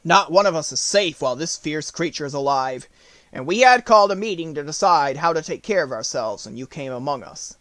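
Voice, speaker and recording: Big storybook tiger, played by a male reader, formal and urgent, raised pitch, forceful declaiming, dry recording. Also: lively intonation, a little fast, no echo. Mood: alarmed. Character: big anxious tiger speaking formally to his king.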